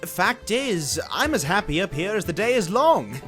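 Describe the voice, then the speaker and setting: A genteel storybook dragon played by a man, high-pitched for a male and very animated. He rambles along in eager, old-fashioned politeness, a lazy and bashful fellow who loves company. Music plays behind him. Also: normal speed. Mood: chatty, confiding. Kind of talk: dramatized storybook character acting.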